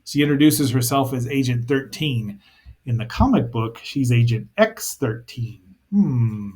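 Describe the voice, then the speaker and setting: A man's voice: medium pitch, lively intonation, a little slow, a little mellow. A man hosting a casual review show, rambling and thinking aloud with playful asides as he comments on a cartoon.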